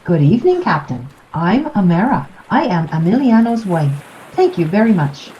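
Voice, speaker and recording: A woman's voice: on the low side, sing-song, normal speed, dark tone, a little hiss or hum. A woman playing a cheerful, doting, older wife in a radio play. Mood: gracious. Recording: muffled, roomy recording.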